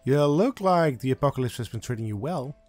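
A man's voice: mid-range voice, expressive, brisk, darkish tone, soft background music. A man hosting a let's play, reading game choices aloud in a casual, hesitant way.

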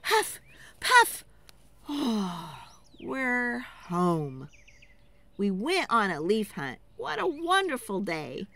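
A woman's voice: medium pitch, wildly swooping pitch, very slow, sharp tone. A woman storyteller giving a dramatic, rhythmic picture-book read-aloud for children, theatrical and urging listeners to join in.

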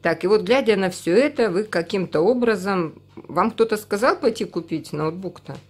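A woman's voice: medium pitch, expressive, moderate pace, a little mellow, breathy edge. A woman asking questions in a conversational interview, prompting and echoing back the answers. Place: indoor room.

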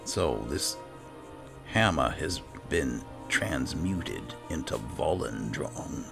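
A man giving dry, unhurried roleplay commentary over a video game, as if talking to himself. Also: a bass voice, animated, gravelly. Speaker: gaming channel host. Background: game music.

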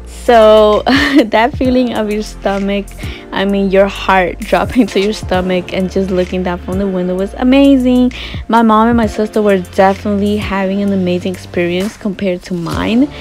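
A woman's voice: mid-range voice, steady tone, normal speed, faint music behind. A woman in her twenties, from Ecuador, narrating her travel vlog in a chatty, earnest voice-over. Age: 20s. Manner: chatty, earnest, laughs softly. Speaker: vlogger.

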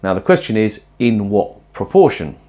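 A man in his forties talking to camera while cooking in a small home kitchen, conversational and musing, with lively emphasis. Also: lowish voice, normal speed. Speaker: presenter of a cooking and philosophy video. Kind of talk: casual explanatory talk to camera while cooking. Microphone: lapel mic.

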